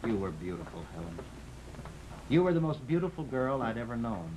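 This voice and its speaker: A man's voice: medium pitch, sing-song, a little fast, a little mellow, lightly acted. A man playing a desperate, devoted, downtrodden husband in an old-time radio drama, speaking earnestly and pleadingly. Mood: tender, wistful. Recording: noisy vintage radio recording.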